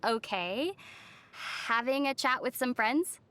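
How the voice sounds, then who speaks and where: A woman voicing a young female adventurer in a video game, gentle and lightly teasing in manner. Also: fairly high pitch, lively intonation, slow, clear, resonant tone. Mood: playful, curious. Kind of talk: video game character acting.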